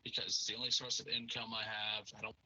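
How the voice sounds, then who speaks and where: A man's voice: fairly low pitch, monotone, fast, bright, forceful tone, lo-fi sound, a little hiss or hum. A man co-hosting a casual podcast, telling a story in a friendly way and leading up to a question. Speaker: podcast co-host.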